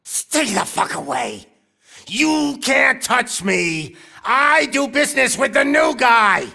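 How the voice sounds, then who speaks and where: An actor playing a cocky, drugged-up, foul-mouthed gang villain in a video game, brash, showy and taunting. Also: male voice, very high voice, big pitch swings, takes it slowly, creaky, exaggerated voice. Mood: defiant taunting. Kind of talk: over-the-top video game villain acting. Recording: loud music behind the voice. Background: loud music.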